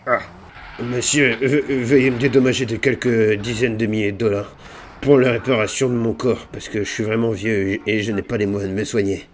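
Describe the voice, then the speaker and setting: A man's voice: lowish voice, level tone, a little fast, slight background noise, natural voice. A man playing a whining, scheming old priest with a bad back in a roleplay game, groaning and pleading.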